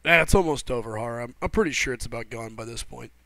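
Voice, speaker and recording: Hoarse laid-back male game streamer. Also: medium pitch, fast talker, bright, forceful tone, dry recording, low-quality recording. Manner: laid-back, greets viewers by name as buddy and brother. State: sore throat from a head cold. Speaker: livestream host. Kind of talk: casual live gameplay chat, reading chat aloud.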